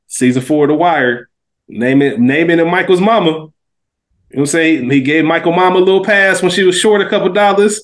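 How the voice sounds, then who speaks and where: A man's voice: on the high side, fairly quick. A man co-hosting a podcast in casual chat, talking emphatically with plenty of slang and swearing. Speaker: podcast co-host reviewing a TV series.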